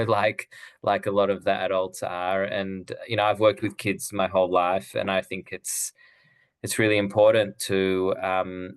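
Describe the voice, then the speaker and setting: A man's voice: low-pitched, smooth. A middle-aged man, born abroad and raised elsewhere, speaking earnestly as an author in an interview, with frequent restarts. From Israel, raised in Australia. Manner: earnest, thoughtful, many restarts. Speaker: author and guest. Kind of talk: conversational interview.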